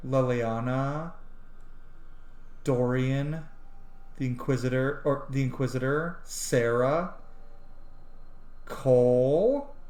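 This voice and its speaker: A man's voice: mid-range voice, a little mellow, reverberant. A man giving casual, sweary, effusive let's play commentary and reading game text aloud. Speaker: gamer, roleplay streamer.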